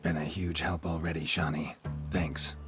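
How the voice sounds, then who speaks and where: A gruff video game monster hunter. His voice is extremely deep, gravelly and hushed, speaking tersely over clear background music. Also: flat delivery, moderate pace. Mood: terse, calm. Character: gruff, laconic male monster hunter hero.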